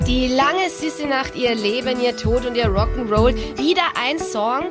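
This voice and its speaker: A woman playing a chatty, flippant host in a radio play, talking casually with a husky voice. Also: high-pitched, unhurried, over loud music. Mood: breezy. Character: chatty female host of a long Sissi night. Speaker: co-host presenting songs.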